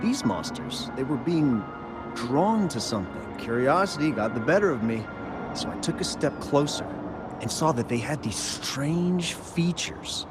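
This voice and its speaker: Man acting an animated, chatty game miner. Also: medium pitch, measured pace, close to an everyday voice. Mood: spooked, eager. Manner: animated, chatty, hesitant in places. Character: friendly excitable male miner, eager eyewitness. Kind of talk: video game story dialogue. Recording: music clearly behind the voice.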